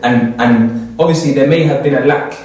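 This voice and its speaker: A man's voice: mid-range voice, moderate pace, a little mellow. A man speaking earnestly in an opinionated group discussion, stressing points by repeating phrases. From Eritrea. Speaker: discussion panel member. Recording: echoey room, distant mic, background noise.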